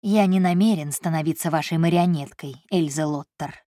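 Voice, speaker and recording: A woman's voice: sits low, normal speed, dark tone, no echo, clean studio sound, natural voice. A woman doing a domineering hot-tempered rich man. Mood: cold. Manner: curt, commanding, cold.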